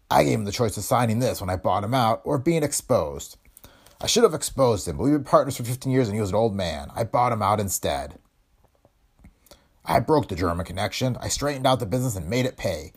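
A cold, threatening businessman in his fifties, as a male narrator puts him on, sharp and mocking. His voice climbs higher and louder than the narration and swings widely. A husky grain runs under it. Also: full character voice.

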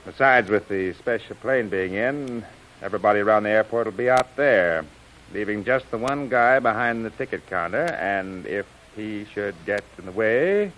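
A man's voice: on the low side, animated, normal speed, fairly bright tone. An actor playing a calculating, commanding male crime boss in a 1930s radio serial style. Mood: approving, commanding. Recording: hissy, noisy old radio recording.

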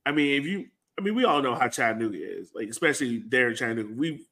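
A man's voice: medium pitch, expressive, quick pace, a bit bright. Man in casual soccer fan chat. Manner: conversational, heavy use of "like" and "you know". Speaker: soccer fan podcast host. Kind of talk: casual sports talk, breaking down a match.

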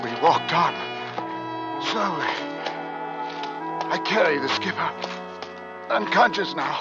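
Desperate exhausted sailor, swinging pitch. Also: male voice, lively intonation. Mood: hushed, awed. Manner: dramatic, intense, halting. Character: desperate, exhausted Arctic sailor narrating his ordeal. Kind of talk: 1950s American radio drama acting. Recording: muffled old radio recording under loud music.

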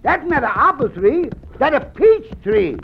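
A man's voice: very animated, a little slow, exaggerated voice. An actor playing a jolly male sidekick who speaks in broken English, in a 1950s radio drama. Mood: playful. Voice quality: high, jovial, broken-English delivery. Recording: dull lo-fi old radio recording with faint hiss.

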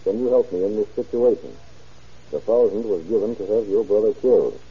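An actor playing a dutiful, deferential deputy, reading deliberately in an old radio crime drama. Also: male voice, fairly low pitch, slightly rough. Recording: dull, muffled old radio recording.

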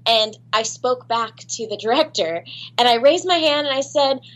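A woman's voice: on the high side, normal speed, fairly bright tone, low-quality recording. A woman guest in an interview, chatting casually and laughing at herself, with lots of ums and restarts. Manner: chatty, self-deprecating, many fillers and restarts. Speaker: voice actress guest.

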